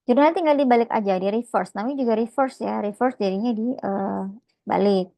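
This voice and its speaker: A woman's voice: fairly high pitch, fairly quick, gravelly, mellow, dry recording. A woman lecturing informally on accounting step by step, conversational and often checking in with short tags.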